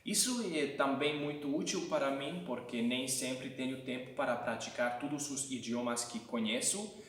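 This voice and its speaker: A man's voice: medium pitch, normal speed, a bit bright. Hungarian guy giving language tips, lots of ums and restarts, sounds a bit far off in a roomy space. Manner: hesitant, many fillers and restarts. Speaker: language-learning video host. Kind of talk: casual language-tips tutorial. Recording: echoey room, mic at a distance.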